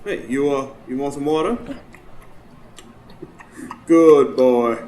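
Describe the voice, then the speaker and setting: A man's voice: medium pitch, slow, warm tone, close to an everyday voice. An actor playing a rustic, kindly neighbor devoted to his old hound, with folksy colloquial talk, in a radio drama recorded live on stage. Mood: tender, weary. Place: stage before a live studio audience. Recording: roomy live stage recording, background noise.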